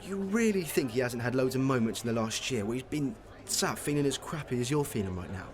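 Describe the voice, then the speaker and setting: A man's voice: medium pitch, animated, fairly quick, close to an everyday voice. An actor playing a loyal young man who cares about his friend, in a radio soap. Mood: gently urging.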